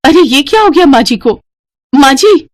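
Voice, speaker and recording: A woman's voice: sits high, lively intonation, normal speed, voice pushed up. A woman voicing a humble, poor working mother and maid in a moral story, deferential and caring. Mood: alarmed.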